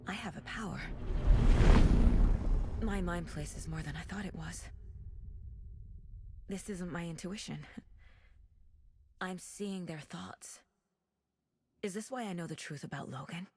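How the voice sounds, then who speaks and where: An actress playing a determined woman investigator with a seer's intuition in a video game, speaking in a measured, intent way that is at times hushed. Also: lowish voice, noisy background, close to an everyday voice. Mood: hushed, reflective. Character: determined woman seer investigator, probing and reflective. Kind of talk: video game acting, introspective monologue.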